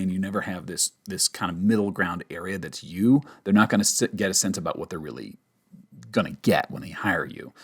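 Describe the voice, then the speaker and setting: Low-voiced man giving a casual tutorial. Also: husky. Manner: emphatic, conversational, checks in with "okay?". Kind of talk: casual instructional talk with demonstrations.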